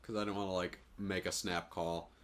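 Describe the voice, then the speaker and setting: Very low-voiced young man. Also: little pitch movement, normal speed, fairly bright tone. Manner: informal, sweary. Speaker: podcast co-host. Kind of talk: casual chat.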